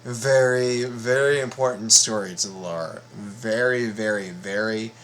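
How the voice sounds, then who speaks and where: A man giving casual reaction commentary as a video host, halting, informal and repeating himself. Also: lowish voice, fairly bright tone, a little hiss or hum.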